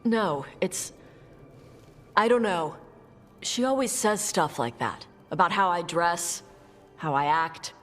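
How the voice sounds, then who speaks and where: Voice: mid-range voice, lively intonation, slow, pauses often, a bit bright. An actor playing a self-conscious, blunt and awkward young character in a fantasy video game cutscene. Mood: uneasy, frustrated. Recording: long reverb, music behind, game playback.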